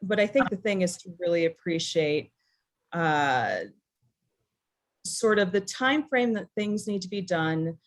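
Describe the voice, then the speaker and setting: An American woman from New England talking informally through plans at a meeting, thinking aloud and hedging as she goes. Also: lowish voice. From New England, USA. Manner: hesitant, thinking aloud, hedging with 'sort of' and 'you know'. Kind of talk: informal spoken presentation at a meeting.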